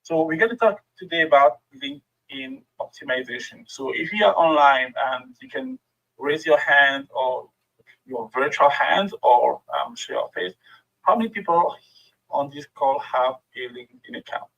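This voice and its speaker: A man's voice: fairly high pitch, very animated, normal speed, creaky, bright, forceful tone, lo-fi sound. A middle-aged man with a French accent and French as his first language, giving a friendly workshop presentation over a video call with many fillers. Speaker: guest speaker.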